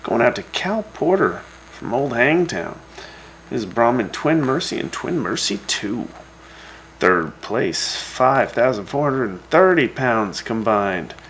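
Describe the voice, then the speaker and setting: A man's voice: medium pitch, very animated, slow pace, a bit bright, slight background noise, low-quality recording. A man hosting a radio show in casual DJ patter, with a laid-back, wry and folksy way of talking. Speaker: radio DJ host.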